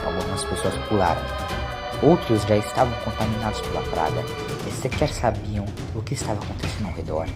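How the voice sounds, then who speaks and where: A man's voice: lowish voice, brisk, over loud music. A man narrating a scripted audio story in a dramatic storytelling style.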